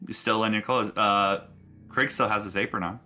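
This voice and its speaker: A man casually narrating a tabletop roleplaying game as game master, explaining things in a halting, informal way. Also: lowish voice, fairly even intonation, bright, forceful tone, faint music behind.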